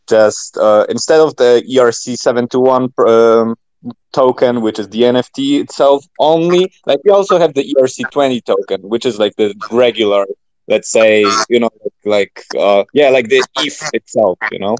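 A man talking as a podcast guest in casual, informal chat, rambling and halting with many filler words. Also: medium pitch, a little mellow, dry recording, rough recording. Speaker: podcast guest, project founder.

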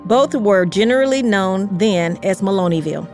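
A woman reading scripted history narration in a measured, carefully articulated storytelling way. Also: mid-range voice, animated, darkish tone, faint music behind. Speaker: podcast narrator.

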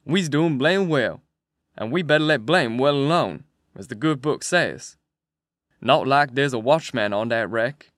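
A man's voice: mid-range voice, lively intonation, unhurried, fairly bright tone, no room sound, studio quality. A man voicing a cautious grown man on a raft who speaks in folksy dialect. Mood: wary.

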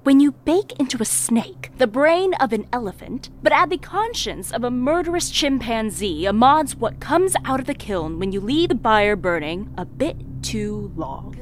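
Actress doing wry theatrical lady storyteller in an audio drama, swoopy pitch, background ambience noise. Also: animated, normal speed, hardly any pauses, natural voice. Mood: wry, admiring. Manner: arch, theatrical. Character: wry, knowing female storyteller of a dark court tale. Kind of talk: dramatic narration in an audio play.

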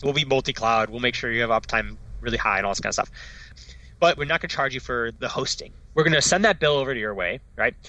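A man's voice: mid-range voice, bright, forceful tone, no room sound, lo-fi sound. A man, a startup founder, talking eagerly in a casual interview, often tagging "right?" onto his sentences. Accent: non-Dutch foreign accent. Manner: enthusiastic, fast, peppered with "right?" and "like".

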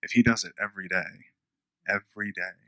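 A man preaching a conversational sermon, repeating phrases for emphasis, with a smooth radio-style voice. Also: low voice, steady tone, fairly quick, sharp tone, no echo. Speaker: pastor.

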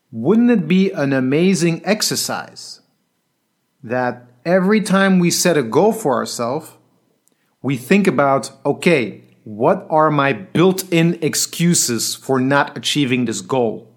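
A man who works as a coach, telling a personal story in an earnest, reflective monologue that sometimes drops quiet. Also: on the high side, animated, takes it slowly. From Belgium.

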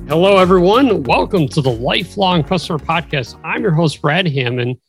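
A man's voice: a little high, expressive, moderate pace, soft background music. A man hosting a business interview podcast, greeting and questioning his guest in an upbeat, enthusiastic way. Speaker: podcast host.

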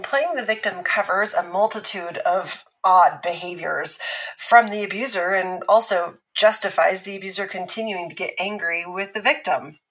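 An actress playing a calm, analytical woman expert who explains patiently and teases a little. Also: mid-range voice, lightly acted, poor audio. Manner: measured, explanatory, lightly teasing. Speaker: expert on abuse recovery. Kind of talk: scripted podcast drama dialogue.

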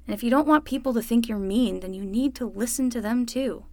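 A woman's voice: on the high side, a little fast, dark tone, dry recording, close to an everyday voice. A woman playing a young summer nanny, patient and wry, talking casually and frankly in a naturalistic fiction podcast.

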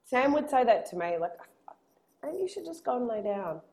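A woman in her thirties co-hosting a podcast over a headset, telling animated stories about family life. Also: a little high, moderate pace. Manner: animated storytelling with acted-out quotes. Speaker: podcast co-host. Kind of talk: casual chat. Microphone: headset earphones.

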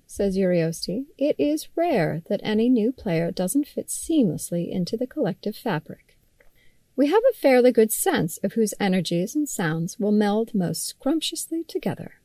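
A woman's voice: medium pitch, warm tone, no room sound. Woman reading her article, dry close recording. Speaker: magazine writer reading own article. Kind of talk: scripted article narration, read aloud.

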